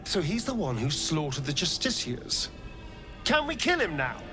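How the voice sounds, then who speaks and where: An actor playing a wry, impatient young adventurer in a video game, expressive and pointed. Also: male voice, a little high, moderate pace. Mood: impatient, eager. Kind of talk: video game character acting. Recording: clear ambient noise behind voice.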